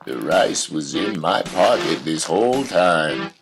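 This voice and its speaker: A man's voice: low voice, lively intonation, slow, gravelly, music in the background, exaggerated voice. A man playing a stern, weary rural father with folksy phrasing in a surreal audio drama. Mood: grave, folksy.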